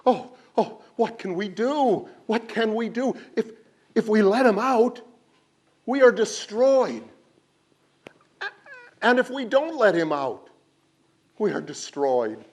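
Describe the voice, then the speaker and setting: Pleading frightened crowd put on by a male storyteller, high wailing voice, storybook. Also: expressive, takes it slowly, warm tone, exaggerated voice. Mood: desperate. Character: panicked crowd of frightened townsfolk.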